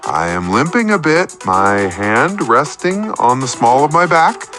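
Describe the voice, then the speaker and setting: A man's voice: medium pitch, big pitch swings, unhurried, bright, forceful tone, soft background music. An actor playing a needy, self-pitying man calling a radio show, with a confessional, theatrical and wordy storytelling manner. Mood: absorbed storytelling. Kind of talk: scripted comedic radio play monologue.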